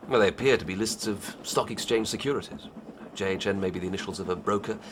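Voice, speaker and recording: A man's voice: lowish voice, quick pace, noisy background, close to an everyday voice. An actor playing an earnest, eager male police inspector reporting his findings in a radio drama.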